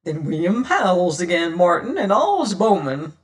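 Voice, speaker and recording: Man as a repentant, emotional sailor, high and slow. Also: sing-song, recorded from a distance. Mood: tearful repentant. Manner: effusive, pleading, nautical dialect. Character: emotional, repentant, simple-hearted sailor, loyal and anguished. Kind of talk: dramatised audiobook reading.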